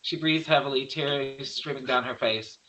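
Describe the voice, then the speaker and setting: A man's voice: medium pitch, steady tone, normal speed, fairly bright tone, rough recording. A man narrating stage directions from a script at a virtual table read.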